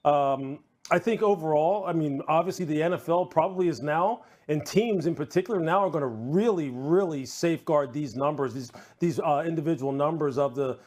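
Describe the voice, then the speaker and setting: Earnest man, higher-pitched voice, sports commentary. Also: darkish tone. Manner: earnest, discursive, repeating phrases for emphasis. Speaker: sports analyst. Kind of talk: unscripted TV panel commentary.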